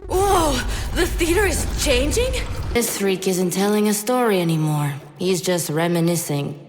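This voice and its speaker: A woman's voice: mid-range voice, lively intonation, unhurried, natural voice. A woman playing an alert squad fighter in a video game, calling out in an urgent, exclamatory way. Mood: alarmed. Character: alert female squad fighter warning her commander. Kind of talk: game combat voice lines. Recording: reverberant hall with clear music behind.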